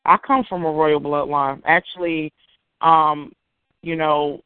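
A woman's voice: sits low, steady tone, slow pace, clear, resonant tone, no room sound. A woman who is a spiritual reader, a guest on a talk show, explaining things in a confident, lecturing way. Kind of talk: casual chat, explaining. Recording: tinny phone line, dry.

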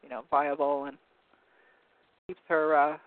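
A hoarse man losing his voice, hosting a call-in talk show in a rambling, casual way while reading from web pages. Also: on the high side, little pitch movement, unhurried. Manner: rambling, searching for words with many ums. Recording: narrow phone-like line, dry.

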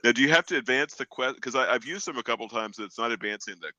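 A man's voice: medium pitch, fast, bright, forceful tone, no echo. A man on a podcast panel talking casually and bluntly, restarting as he puts questions to the others. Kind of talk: casual chat.

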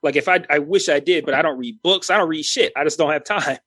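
Man, 30s, podcast co-host; slightly high voice, dry close-mic sound, rambling and restarting. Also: fast, bright, forceful tone, dry recording. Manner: rambling, many restarts and fillers. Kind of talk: casual podcast chat.

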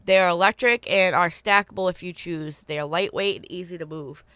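A woman with a stuffy, congested voice hosts a local call-in radio show. Her voice sits slightly low and is recorded close and dry. She reads out items for sale and repeats phone numbers carefully. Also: a little fast, pushed and bright, clear, resonant tone, dry recording. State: stuffy from a cold. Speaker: radio swap-show host. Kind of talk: call-in radio hosting, reading listings.

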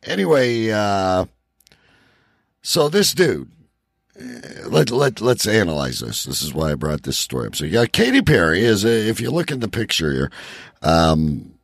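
A man's voice: lowish voice, big pitch swings, unhurried, gravelly, no echo, clean studio sound. A man hosting a casual radio talk show in a rambling, joking way.

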